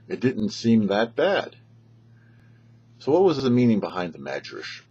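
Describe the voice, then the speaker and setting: An older man, a rabbi, giving a short prepared religious teaching to camera in measured, emphatic phrases with deliberate pauses. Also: low-pitched, a little mellow, breathy edge, lo-fi sound. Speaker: rabbi and show host. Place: small indoor setup before a dark backdrop.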